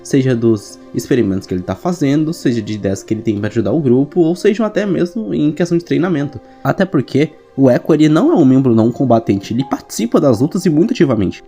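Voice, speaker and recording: A young adult with a Brazilian accent explaining story lore in a casual, chatty, slangy way. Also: mid-range voice. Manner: informal, slangy, animated storytelling. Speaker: video creator answering viewer questions. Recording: background music behind voice. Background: music.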